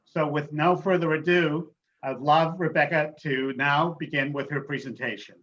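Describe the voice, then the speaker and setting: A man's voice: on the high side, fairly even intonation, moderate pace, a bit bright. A man acting as moderator, introducing a guest speaker at an online event. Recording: dull, noisy online call audio.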